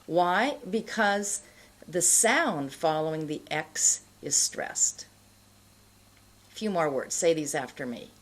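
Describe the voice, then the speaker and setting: A middle-aged woman with an American accent giving an instructional pronunciation lesson, enunciating carefully and deliberately with pauses between words. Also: low voice, expressive. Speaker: pronunciation teacher. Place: indoor studio.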